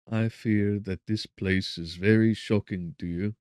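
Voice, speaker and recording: A man's voice: fairly low pitch, fairly even intonation, a little slow, darkish tone, clear, resonant tone, no room sound, clean studio sound, pitched above the performer's own voice, lightly acted. A man voicing a sympathetic male magistrate in a gothic audiobook, speaking formally and with solicitude. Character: kindly, sympathetic male magistrate, courteous and compassionate.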